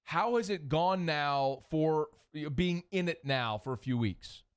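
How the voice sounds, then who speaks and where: A man's voice: fairly high pitch, animated, unhurried, clear, resonant tone. A male sports show host in casual chat, teasing and ranting at his co-hosts.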